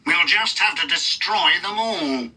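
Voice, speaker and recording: A man voicing a bullying, villainous diesel engine for a children's storybook, with a threatening, drawn-out delivery. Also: high-pitched, animated, far from the mic, very noisy, voice pushed up. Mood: scheming.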